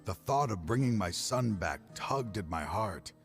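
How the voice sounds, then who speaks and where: A worn-down former partner turned foe, speaking slowly and heavily in a very deep, low register. His somber game-cutscene lines carry grief beneath a steady, measured calm. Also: vocal fry, soft background music. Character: grieving older male ex-partner turned foe.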